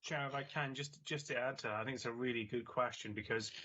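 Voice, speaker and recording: A man giving a measured explanation at a council meeting. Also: medium pitch, fairly even intonation, bright, forceful tone. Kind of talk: answering questions at a council meeting. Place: video call. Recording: lo-fi video call audio.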